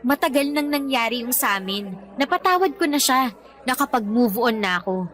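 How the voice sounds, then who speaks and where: A woman's voice: on the high side, lively intonation, moderate pace, fairly bright tone, soft background music. A woman playing an exasperated, hurt young girlfriend in a radio love drama, sarcastic and reproachful. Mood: annoyed, defiant.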